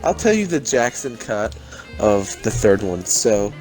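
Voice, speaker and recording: A man's voice: lowish voice, big pitch swings, measured pace, a little mellow, soft background music, low-quality recording. A man bantering casually with friends as a podcast co-host, blunt and joking, using slang and pushing with questions.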